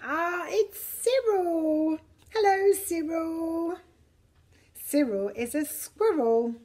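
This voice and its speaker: A middle-aged woman reading a picture book to young children in an expressive, deliberate way. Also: very high-pitched. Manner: slow, deliberate, expressive delivery for little children. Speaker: preschool story reader. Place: preschool classroom, indoors. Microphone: camera a short distance away.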